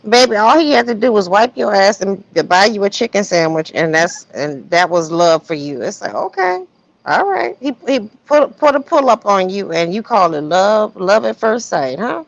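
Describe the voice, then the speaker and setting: A woman's voice: mid-range voice, big pitch swings, normal speed, creaky, no echo. A woman gossiping casually on a livestream in a sassy, colloquial way, with plenty of rhetorical questions. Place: remote online livestream. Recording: dull lo-fi livestream audio.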